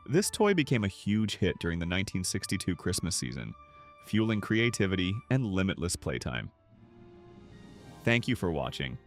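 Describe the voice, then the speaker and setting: A man reading scripted nostalgic documentary narration. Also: low voice.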